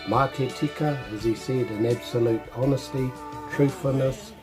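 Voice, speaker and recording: A man, relaxed and rambling, introducing an online song challenge from a small bedroom at home. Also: mid-range voice, normal speed, music in the background. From New Zealand. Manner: relaxed, rambling, mixes in Māori words. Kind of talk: casual introduction to camera.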